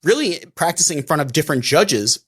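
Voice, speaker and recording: A middle-aged man with a New York accent, chatting casually as a podcast co-host, pausing and restarting often. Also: fairly high pitch, expressive, a bit bright, slightly rough. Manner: halting, many restarts and fillers. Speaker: defense attorney co-host.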